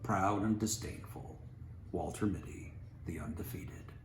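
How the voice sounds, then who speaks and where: Deep-voiced man reading a story, roomy. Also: measured pace. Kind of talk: story narration, reading aloud. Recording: roomy, noisy home recording.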